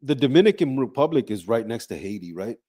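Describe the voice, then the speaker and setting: A man's voice: medium pitch, big pitch swings, fast, crowd noise. A man hosting an interview, asking questions with many ums and restarts. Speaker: host. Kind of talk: casual interview.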